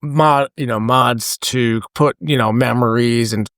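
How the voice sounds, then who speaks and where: A man's voice: medium pitch, fairly even intonation, fairly bright tone, no echo, clean studio sound. A man co-hosting a film podcast in casual chat, rambling with many fillers and slipping into character voices. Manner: rambling, lots of fillers, quick impressions of characters. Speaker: podcast co-host. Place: podcast studio.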